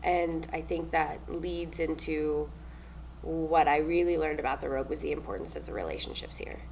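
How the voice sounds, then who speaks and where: A young woman from New Zealand answers interview questions with a loud, upbeat delivery and frequent laughs. Her voice sits a little low and has a gravelly fry to it. Faint noise hums under the recording. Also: moderate pace, poor audio. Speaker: stage manager.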